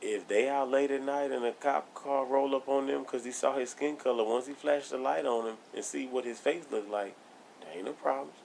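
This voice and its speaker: A man's voice: medium pitch, moderate pace, creaky, darkish tone, slight background noise, poor audio. A man co-hosting a casual podcast, blunt and argumentative, laughing as he makes his points. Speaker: podcast co-host.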